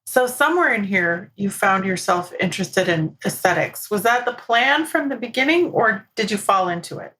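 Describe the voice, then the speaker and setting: A woman's voice: mid-range voice, expressive, normal speed, hardly any pauses, a bit bright, low-quality recording. A woman in her forties from the United States with a mid-range voice. She hosts a podcast interview, asking questions and responding conversationally. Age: 40s.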